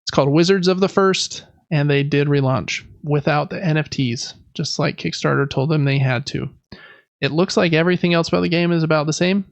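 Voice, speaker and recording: A man's voice: a little high, fairly quick, gravelly, dry recording, muffled recording. A man hosting a gaming podcast, running through news in a casual, conversational and informal way. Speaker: podcast host.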